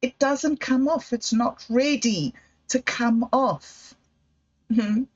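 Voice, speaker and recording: A middle-aged woman hosting a livestream, telling personal stories in a slow, thoughtful, reflective way. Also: fairly high pitch. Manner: slow, thoughtful storytelling with emotional quotes. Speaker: livestream host. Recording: dull lo-fi livestream audio.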